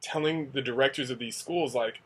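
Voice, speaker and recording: A young man talks loosely straight to camera, a teacher sharing stories in a casual vlog. His pitch sits right in the middle of a man's range, and he rambles along full of "like" and "you know", circling back on himself. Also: moderate pace, slightly rough, poor audio. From United States. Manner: rambling, conversational, many fillers. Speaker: English teacher in Asia. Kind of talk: casual talking-head vlog.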